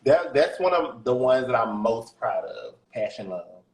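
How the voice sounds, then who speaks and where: A deep-voiced man talks candidly and openly, sitting at a middle pitch. He laughs easily as he chats. The room around him is echoey. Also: sing-song, unhurried. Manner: candid, chatty, laughs often. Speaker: guest musician. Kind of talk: casual interview chat.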